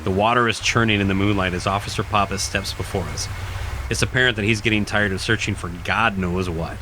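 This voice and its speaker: A man reading scripted horror narration in a dramatic, deliberate storytelling manner. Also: fairly low pitch, fairly even intonation, pushed and bright, a little hiss or hum. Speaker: narrator, podcast host.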